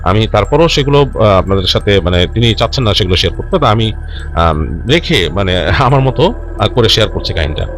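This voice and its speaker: A man narrates a ghost story as a host, speaking conversationally with lively pitch movement. He often pauses, restarts and repeats himself, dropping in English words, over background music. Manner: halting, self-correcting, repeats and rephrases phrases as he goes; mixes English words into his speech. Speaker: host narrating listener-submitted ghost stories.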